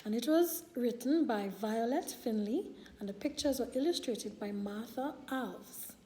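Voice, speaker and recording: Female storyteller, high and soft-toned, taking her time over a picture book for little kids. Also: slight background noise. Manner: deliberate, expressive delivery for young children. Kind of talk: children's read-aloud storytelling.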